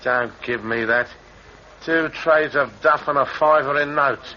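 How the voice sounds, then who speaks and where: An actor playing a threatening gang boss in an old radio crime drama, sneering and menacing. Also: male voice, mid-range voice, unhurried, bright, forceful tone, slight background noise. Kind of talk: 1950s radio drama acting. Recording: dull lo-fi old radio recording.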